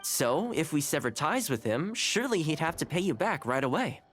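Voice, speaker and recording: Smooth young fixer, lively intonation. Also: male voice, fairly high pitch, normal speed, soft background music. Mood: coaxing. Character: smooth, easygoing young male fixer and negotiator. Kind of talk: video game character dialogue.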